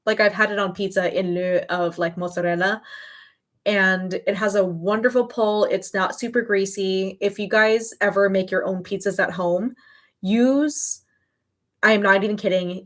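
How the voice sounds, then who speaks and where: A woman in her early thirties hosts a casual livestream. Her voice sits at a middle pitch for a woman. She talks in an informal, emphatic way, readily gushing as she chats to her viewers. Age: early 30s. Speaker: livestream host.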